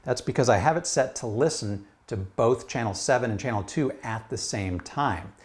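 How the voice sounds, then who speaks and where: A man's voice: medium pitch, moderate pace. A man giving a casual product review in a conversational, emphatic way.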